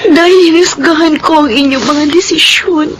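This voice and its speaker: High-voiced Filipino actress as a guilt-ridden daughter. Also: female voice, moderate pace, close to an everyday voice. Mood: tearful, remorseful. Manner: emotional, earnest delivery. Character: guilt-ridden grown daughter, youngest child, yearning for mother. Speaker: radio drama actress. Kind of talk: Filipino radio drama acting. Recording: radio drama with strong music underneath.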